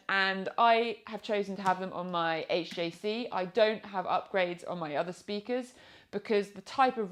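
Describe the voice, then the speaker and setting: A woman with a British accent giving an informal product review in a chatty, explanatory way. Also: on the low side. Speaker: product reviewer and motorcycle vlogger.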